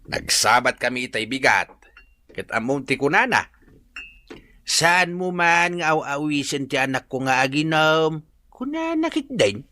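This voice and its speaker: A man's voice: on the high side, lively intonation, a little slow, sharp tone. A man acting a chummy, tipsy village drinking buddy in an Ilocano radio comedy drama. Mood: chummy, confiding.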